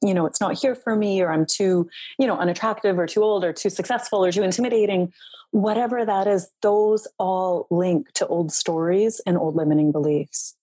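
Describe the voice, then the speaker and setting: Soft-spoken earnest woman, dark dry voice. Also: lowish voice, moderate pace, slightly rough, dry recording. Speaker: guest, relationship coach. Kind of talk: heartfelt podcast interview.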